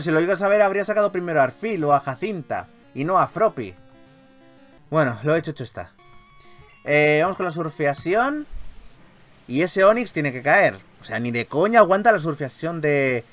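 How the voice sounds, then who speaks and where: A man from Spain hosts a gameplay video in a fairly high, very lively voice. His commentary is theatrical and foul-mouthed, with phrases often repeated. Faint game music plays behind him.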